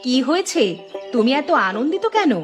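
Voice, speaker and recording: Woman as a high-voiced teasing village wife. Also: expressive, moderate pace, soft background music. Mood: teasing, curious. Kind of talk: Bengali cartoon dialogue acting.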